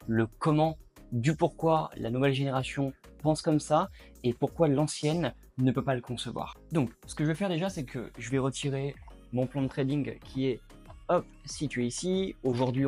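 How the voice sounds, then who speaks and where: A man's voice: mid-range voice, a little mellow. Man near thirty explaining at a whiteboard. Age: late 20s. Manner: reflective, addresses viewer directly. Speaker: YouTuber.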